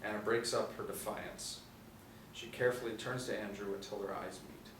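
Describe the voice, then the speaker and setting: A man's voice: lowish voice, steady tone, normal speed. A middle-aged man reading out screenplay action as a scripted narrator at a table read. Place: small indoor room, seated at table read. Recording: roomy, distant mic, noisy ambience.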